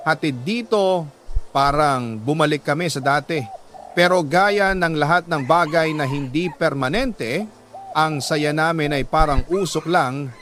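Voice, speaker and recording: Higher-pitched man, sentimental storyteller. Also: fairly bright tone, a little hiss or hum. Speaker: radio drama host reading a listener's letter. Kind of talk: dramatic first-person storytelling, scripted narration.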